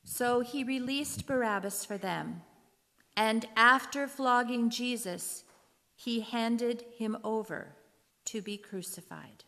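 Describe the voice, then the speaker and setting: A woman narrating scripture from a script for reader's theater, in a measured, solemn manner. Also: on the high side, sharp tone. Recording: long hall reverb.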